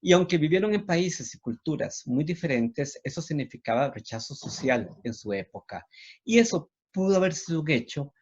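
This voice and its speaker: A man's voice: a little high, animated, moderate pace, breathy edge, lo-fi sound. A Costa Rican man with a didactic manner, speaking as a university lecturer presenting on literature. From Costa Rica.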